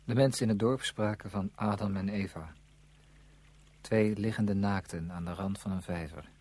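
Guy acting as wistful wry writer in a radio play, low husky voice, careful literary wording. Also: steady tone, darkish tone, natural voice. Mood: wistful, reflective. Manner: measured, literary phrasing. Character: reflective male writer visiting a village, wistful, wry. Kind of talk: literary radio drama narration and dialogue.

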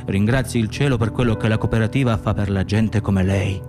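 An actor playing an exhausted, anxious immigrant nurse in a radio play, speaking in fragmented, repetitive phrases. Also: male voice, fairly low pitch, a little fast, music in the background, close to an everyday voice. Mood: weary, anxious. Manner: fragmented, self-muttering, repetitive. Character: exhausted, anxious Moroccan immigrant nurse, man, muttering inner thoughts. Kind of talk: radio theatre interior monologue and dialogue.

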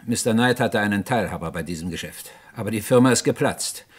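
An actor playing a composed, authoritative police inspector in a radio crime drama, matter-of-fact and commanding. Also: male voice, lowish voice, lively intonation, brisk, slightly rough. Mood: stern, controlled. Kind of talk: 1950s-80s German radio crime drama acting.

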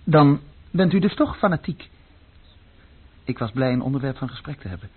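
A man's voice: mid-range voice, animated, normal speed, husky. An actor playing a searching, brooding runaway son who tells his own story in a radio play, plainly and introspectively. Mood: questioning. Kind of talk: radio play narration and dialogue. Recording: muffled, phone-like old radio recording.

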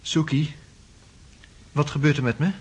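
An actor playing a confused, haunted man in despair, speaking in a halting, dazed way in a radio drama. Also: on the high side, steady tone, a little mellow, long reverb tail, natural voice.

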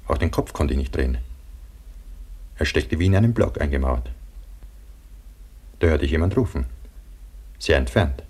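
A haunted survivor narrating a radio drama. His voice is extremely deep and husky. He tells his ordeal gravely and broodingly, in short, halting phrases. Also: expressive, a little mellow, full character voice. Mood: tense, dread.

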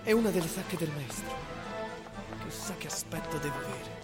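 An actor playing an exhausted, hunted survivor of a massacre, thinking in broken, brooding phrases in a radio drama. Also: male voice, on the high side, lively intonation, normal speed, close to an everyday voice. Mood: haunted, exhausted. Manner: fragmented, brooding short phrases. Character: exhausted, hunted male fugitive survivor of a massacred peasant army. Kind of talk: radio drama inner monologue. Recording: music behind the voice.

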